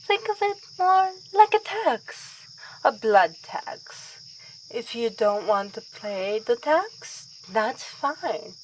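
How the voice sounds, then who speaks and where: A woman's voice: on the high side, sing-song, slow pace, a little hiss or hum, poor audio. A woman playing a young vampire princess in an ASMR roleplay, with formal, theatrical, teasingly menacing speech. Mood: playful, coaxing. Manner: theatrical, formal, courtly phrasing.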